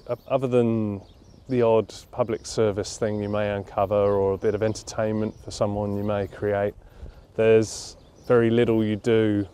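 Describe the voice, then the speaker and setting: A man, speaking informally and thoughtfully, with halting phrases, in an interview. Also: lowish voice, dark tone, smooth. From London, England. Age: 30s. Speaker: interviewee, charity cyclist. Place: outdoors at a leafy campground.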